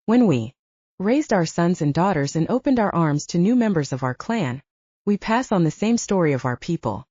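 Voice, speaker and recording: A woman's voice: lowish voice, lively intonation, normal speed, slightly rough, dry recording. A woman narrator voicing a kindly, comforting storyteller in an audiobook, on a slightly dull recording. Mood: warm storytelling. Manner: warm, comforting.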